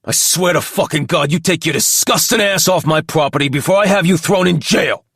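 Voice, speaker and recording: A man's voice: fairly high pitch, big pitch swings, slow, a bit bright, slightly rough, no echo, studio quality, voice pushed up, exaggerated voice. A man voicing a burly, protective male cop who snarls threats in a raised, strained voice. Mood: furious.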